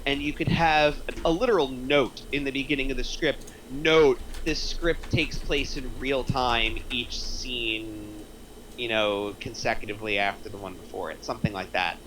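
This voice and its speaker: A man's voice: medium pitch, steady tone, moderate pace, a bit bright, rough recording. Man explaining points over room noise. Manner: explanatory, talks in examples. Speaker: script feedback panelist. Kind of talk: casual group critique of a screenplay.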